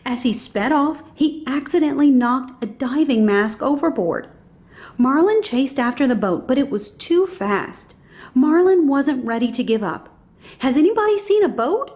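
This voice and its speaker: A woman's voice: high voice, expressive, a little slow, a little mellow. A woman reading a children's storybook aloud as scripted narration.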